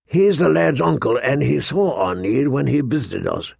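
A man narrating an audiobook, voicing a gruff, grumbling, weary old lord commander with a growling, rumbling voice. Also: animated, moderate pace, darkish tone, dry recording, clean studio sound, exaggerated voice. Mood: musing. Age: older.

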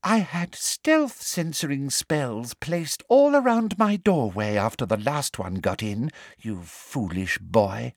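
A man voicing a sugary, cruel middle-aged witch official with a shrill, sneering tone. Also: big pitch swings, slow, hardly any pauses, no room sound, studio quality, exaggerated voice. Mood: icy menace.

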